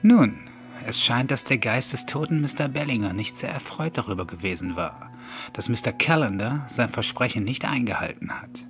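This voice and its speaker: A man's voice: mid-range voice, lively intonation, normal speed, a bit bright. A man narrating a scripted horror story as an audiobook reader. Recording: background music under the voice. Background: music.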